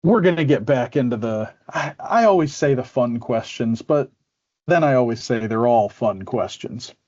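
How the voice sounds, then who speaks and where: A man's voice: medium pitch, lively intonation, moderate pace, a little mellow, lo-fi sound. A man hosting an interview podcast in a playful, joking way. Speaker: podcast host.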